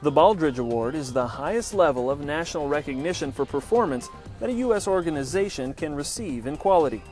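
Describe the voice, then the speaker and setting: A man reading a company newsreel as its anchor, in a smooth scripted announcer delivery. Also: on the high side, animated, brisk, darkish tone, faint music behind. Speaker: news anchor. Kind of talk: scripted news narration.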